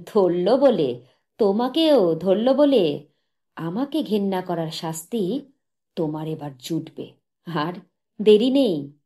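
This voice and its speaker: A woman voicing a bitter, diseased husband in an audiobook, jealous and accusing, speaking loudly and emphatically. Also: lowish voice, lively intonation, slow pace, long pauses. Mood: vengeful fury. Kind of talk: dramatised audiobook reading.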